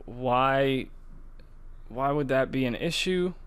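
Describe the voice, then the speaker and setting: Mid-pitched man, informal podcast chat. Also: slow, clean tone. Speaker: podcast co-host. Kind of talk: casual chat about video games and movies.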